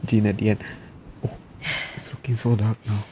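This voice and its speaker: A man's voice: lowish voice, little pitch movement, normal speed, noisy background. A man giving casual, slangy gameplay reactions in short exclamations as a streamer. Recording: loud game music behind. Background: loud game music.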